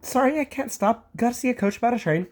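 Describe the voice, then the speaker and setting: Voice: medium pitch, sing-song, a little slow, darkish tone, voice pushed up. A storybook reader voicing an impatient, fussy male tank engine who talks in a huffy, flustered way. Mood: evasive.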